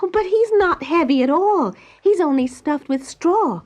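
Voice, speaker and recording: A woman's voice: very high-pitched, expressive, measured pace, darkish tone, voice pushed up. A woman voicing a polite, eager young girl heroine in a children's storybook, speaking earnestly. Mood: pleading.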